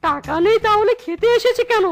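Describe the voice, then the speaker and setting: A woman's voice: very high voice, lively intonation, a little fast. A woman voicing an aggressive, bullying restaurant boss who barks orders in a comic animal cartoon. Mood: enraged. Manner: barking orders. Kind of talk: cartoon voice acting in a comic folk tale. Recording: cartoon music behind the voice.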